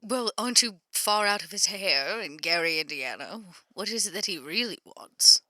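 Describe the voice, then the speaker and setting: A woman playing an exasperated, sarcastic vampire businesswoman in an improvised roleplay, with a halting, dry delivery. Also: mid-range voice, animated, sharp tone, no room sound. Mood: wry, concerned. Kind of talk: improvised tabletop roleplay, phone conversation.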